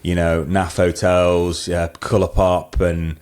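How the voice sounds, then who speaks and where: A man's voice: sits low, level tone, slow pace, a little mellow, slight background noise. A middle-aged man with a hybrid Scouse-Mancunian accent, chatting casually in an interview and telling anecdotes in a rambling, self-deprecating way. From northwest England, Wirral and Manchester. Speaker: podcast guest, wedding photographer.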